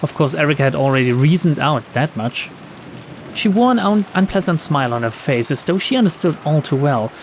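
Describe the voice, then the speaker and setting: A man's voice: fairly high pitch, expressive, brisk, a little hiss or hum. A man reading visual novel text aloud as a let's-play narrator, dropping wry, sarcastic and sweary commentary.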